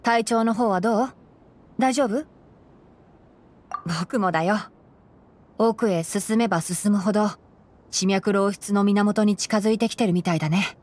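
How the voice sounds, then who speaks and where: A woman playing a calm, principled young male fox-eared forest ranger and scholar in a Japanese video game, speaking in a measured, articulate way. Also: mid-range voice, natural voice. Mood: calm, considerate.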